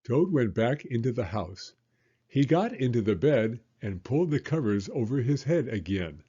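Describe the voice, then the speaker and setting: Storyteller man in his fifties, gentle, animated read-aloud voice, lo-fi audio. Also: mid-range voice, moderate pace, slightly rough. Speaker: elementary school teacher, storyteller. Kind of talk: children's read-aloud narration.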